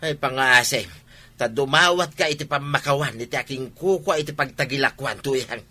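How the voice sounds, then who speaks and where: A man's voice: medium pitch, normal speed, pushed and bright. A man with an Ilocano accent playing an emphatic, complaining village man in a radio comedy drama. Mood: pleading, agitated.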